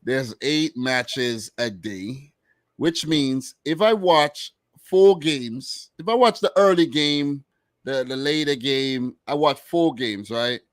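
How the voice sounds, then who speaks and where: A man in his mid-thirties co-hosting a football chat show, talking in a relaxed, rambling way full of "man" and "you know". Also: medium pitch, slow, dry recording. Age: 30s. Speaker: football podcast co-host. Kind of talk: casual chat.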